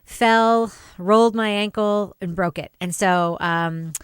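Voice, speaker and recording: A middle-aged woman with a clear, smooth voice, co-hosting a podcast and telling personal stories in casual chat. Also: mid-range voice, slow, dry recording, clean studio sound. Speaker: podcast co-host.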